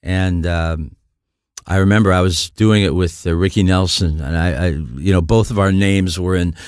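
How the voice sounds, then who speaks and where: Older man, from the US Midwest, low voice, rambling anecdotes, dry recording. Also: moderate pace, darkish tone, clean tone, dry recording. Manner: rambling anecdotes, frequent restarts. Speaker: guest musician. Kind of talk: storytelling in conversation.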